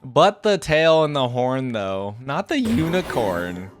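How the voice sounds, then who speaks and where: A man's voice: mid-range voice, sing-song, takes it slowly, slight background noise. A man giving casual, off-the-cuff reaction commentary as a video host, thinking aloud in an informal, exclamatory way.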